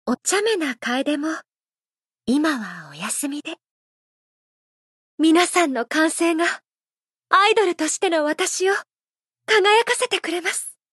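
A woman voicing a gentle, elegant, playfully affectionate idol singer in a mobile game, speaking in a soft, measured, heartfelt way. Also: very high voice, no echo, clean studio sound, full character voice. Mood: playful, tender, then sincere, uplifted.

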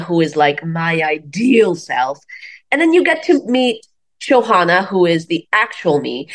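Low-pitched woman from Bangladesh, chatty storyteller. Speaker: comedian, interview guest. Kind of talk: casual conversational interview.

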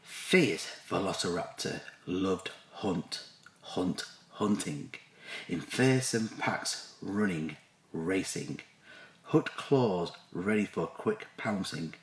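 A man reading a children's picture book aloud in measured short phrases with pauses. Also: low voice. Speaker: school staff reader. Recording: roomy, echoey sound.

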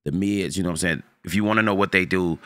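A young man with a deep voice giving a loose, rambling, slangy tutorial on his streaming setup from his home room. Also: quick pace, fairly bright tone, dry recording. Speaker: streamer showing mic settings. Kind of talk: casual screen-recorded tutorial.

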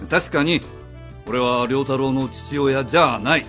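A man's voice: mid-range voice, unhurried, pushed and bright, soft background music. A man playing an easygoing, evasive drifter in a radio drama, talking casually and hesitantly, with slangy phrasing. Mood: protesting. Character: easygoing, evasive drifter posing as a boy's father. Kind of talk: Japanese radio drama acting.

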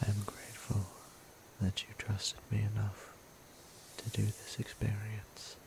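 A man playing a gentle, seductive vampire priest in an ASMR roleplay, whispering breathily with soothing, intimate, formal endearments. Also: fairly low pitch, monotone, a little slow, creaky, poor audio. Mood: tender, proud. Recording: noticeable ambient background noise.

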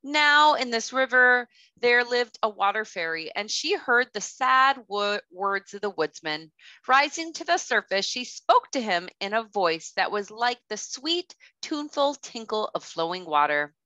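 Lady teacher reading a kids story, slow n clear, bright voice, close dry headset sound. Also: a little high, very animated, no room sound, muffled recording. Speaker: kindergarten teacher. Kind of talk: children's storybook read-aloud.

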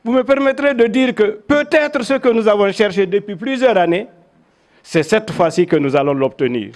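An older man with a Guinean accent giving a measured, oratorical public speech with personal recollections into handheld microphones. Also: high voice, expressive. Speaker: veteran local dignitary. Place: crowded covered event venue. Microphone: handheld microphones held in front.

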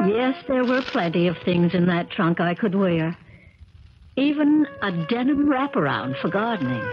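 A woman's voice: mid-range voice, close to an everyday voice. An actress playing a curious, uneasy young woman in a 1970s radio drama, speaking in a hesitant, questioning way. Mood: wondering. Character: curious, uneasy young woman visiting her mysterious uncle. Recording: crackly, muffled 1970s radio recording.

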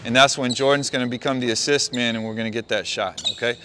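A middle-aged man, a basketball coach, walking his players through a play on the court and calling out quick praise. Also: mid-range voice, bright, forceful tone, slight background noise. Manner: talks players through a walk-through, calls out praise. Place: large indoor gym.

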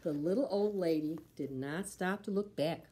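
A middle-aged woman reading a picture book aloud for preschool storytime, with deliberate, dramatic emphasis on sound words. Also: on the low side, dark tone, breathy edge. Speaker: library storytime reader.

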